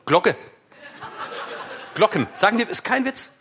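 A man from southwest Germany with an Alemannic accent, co-hosting a podcast in casual teasing banter, deadpan and quick to laugh. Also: high voice, slight background noise.

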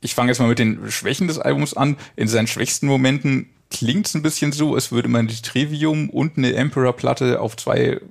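A man's voice: medium pitch, moderate pace, vocal fry, hardly any pauses, darkish tone. A man giving a conversational album review as co-host of a music podcast.

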